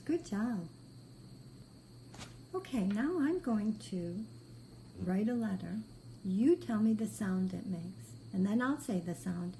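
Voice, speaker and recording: A woman's voice: medium pitch, mellow, a little hiss or hum, poor audio. A woman teaching a step-by-step phonics lesson to beginning readers, giving patient, simple instructions with repeated praise. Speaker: reading teacher.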